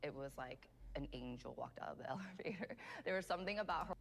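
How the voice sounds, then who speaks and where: A woman's voice: low-pitched, lively intonation, fast, creaky, a little hiss or hum. A woman recruiter speaking earnestly and admiringly in a documentary interview. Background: music.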